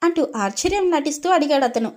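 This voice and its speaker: A woman's voice: high-pitched, animated, a little fast. A woman storyteller reading a scripted domestic story as narrator.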